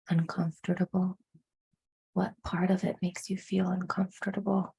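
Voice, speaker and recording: A woman leading a guided meditation in a hushed, soothing manner, with deliberate phrasing and frequent pauses. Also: lowish voice, gravelly, breathy edge.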